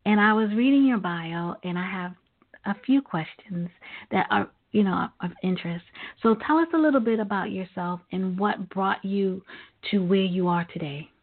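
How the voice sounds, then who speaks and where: A woman hosting a live internet talk radio show with a halting, reflective delivery. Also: mid-range voice. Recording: phone-like lo-fi internet radio line.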